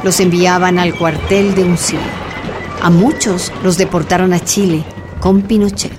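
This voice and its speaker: Narradora de radionovela con música de fondo. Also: female voice, fairly low pitch, fairly even intonation, a little slow. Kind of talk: scripted dramatic narration. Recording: music bed under voice.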